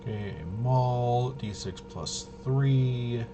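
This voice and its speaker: A man casually talking himself through a tabletop game walkthrough, halting and unscripted, muttering as he thinks. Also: medium pitch, over music. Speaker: host of a tabletop roleplaying video.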